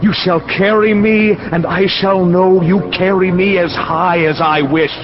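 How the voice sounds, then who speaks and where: A man's voice: sits high, steady tone, a little slow. An actor playing a privileged young dreamer who tells his story in intense, ornate radio drama narration. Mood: impassioned. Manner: ornate, intense, poetic delivery. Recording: dull lo-fi audio under loud music.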